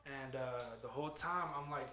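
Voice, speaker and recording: A man's voice: medium pitch, moderate pace, sharp tone, soft background music. A man in his late twenties hosting a podcast, talking loosely and profanely, turning motivational. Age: 20s. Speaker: podcast host. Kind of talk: casual podcast chat. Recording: echoey room.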